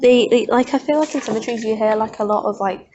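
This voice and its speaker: A woman's voice: a little high, fast, lo-fi sound, a crowd in the background. A young woman talking casually in a student brainstorming session, with some noise and clicks. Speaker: student in group project.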